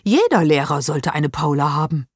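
A woman's voice: low-pitched, animated, moderate pace, husky, dry recording, studio quality. A woman reading aloud as a cheerful, kindly woman teacher.

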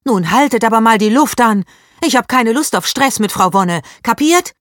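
A woman reading aloud as a firm, sensible schoolgirl who speaks in a sharp, commanding way. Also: fairly low pitch, big pitch swings, moderate pace, dry recording, studio quality. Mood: stern.

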